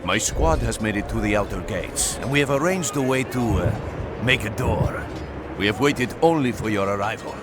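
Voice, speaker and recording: A loyal, confident squad leader of the ancient world voiced by a man in a video game. His voice is fairly low and measured, with loud music behind it. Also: animated, close to an everyday voice. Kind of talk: scripted video game acting.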